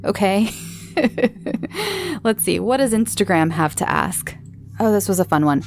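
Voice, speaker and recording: A woman podcast host talks casually and informally, drifting from thought to thought as she answers listeners. Her mid-pitched voice has plenty of vocal fry. There is faint noise behind her. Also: animated, a little slow. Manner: informal, rambling, chatty.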